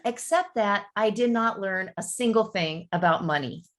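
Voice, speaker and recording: A woman in her fifties recounting her story as a podcast guest, chatty and animated. She speaks straight to listeners and calls them folks. Her voice sits in an ordinary middle pitch for a woman. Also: normal speed. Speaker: guest, real estate broker. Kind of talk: casual storytelling interview.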